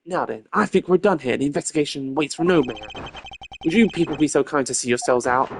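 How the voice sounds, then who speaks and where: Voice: medium pitch, fast, creaky, background noise, close to an everyday voice. A fan voice actor voicing a smug, arrogant male detective who talks down to everyone, over game music. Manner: condescending, self-satisfied. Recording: game music playing underneath.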